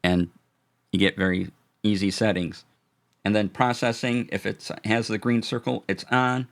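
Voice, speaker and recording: A man with a low voice explaining audio gear in a relaxed, halting live-stream demo, full of fillers and restarts. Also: vocal fry. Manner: greets chat viewers warmly. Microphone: studio dynamic mic.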